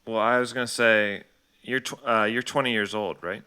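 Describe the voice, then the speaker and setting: A man interviewing someone in a casual, joking way, with a mock-serious manner and halting phrasing as he forms his questions. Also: fairly low pitch, fairly even intonation, sharp tone, clean tone.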